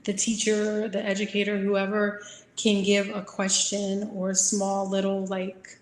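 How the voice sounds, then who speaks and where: A woman's voice: medium pitch, unhurried, slight background noise. Midwestern woman on a roomy video call. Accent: Midwestern American. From American Midwest. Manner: relaxed, informal with many fillers. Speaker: guest speaker. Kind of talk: webinar talk.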